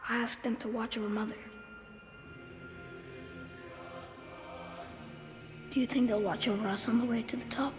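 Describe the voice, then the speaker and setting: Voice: mid-range voice, fairly even intonation, very fast, over music, lightly acted. A performer playing an eager, curious young boy in a video game, earnest and inquisitive with his father. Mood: subdued, obedient. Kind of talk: cinematic video game acting.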